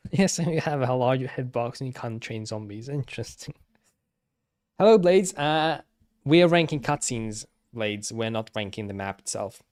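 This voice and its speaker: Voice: mid-range voice, expressive, moderate pace. A streamer giving casual, off-the-cuff live commentary on game cutscenes.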